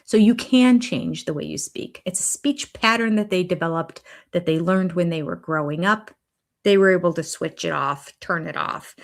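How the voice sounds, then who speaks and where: A woman's voice: lowish voice, creaky. An older woman hosting a casual watch-along stream, chatting about a reality show and reading viewer comments aloud. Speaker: YouTube host.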